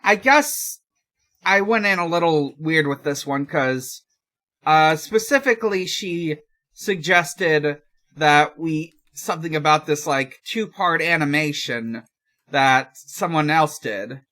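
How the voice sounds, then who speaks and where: Slow, hesitant podcast host. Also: medium pitch, sharp tone. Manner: hesitant, halting. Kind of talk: casual chat and fanfiction read-aloud.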